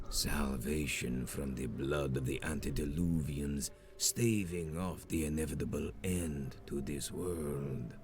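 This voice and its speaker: An actor playing a menacing, ancient male vampire sect leader in a video game, speaking in grandiose, solemn, archaic oratory. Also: a bass voice. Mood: solemn conviction. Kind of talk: dramatic video game villain acting.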